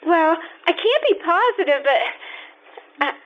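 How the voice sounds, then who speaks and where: A nosy, tattling young girl, played by a woman in an old-time radio play, high and very lively. She is coy and hesitant, and the thin sound is like a telephone line. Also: measured pace, a little hiss or hum. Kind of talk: old-time radio acting, live stage performance. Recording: thin, phone-like lo-fi stage recording.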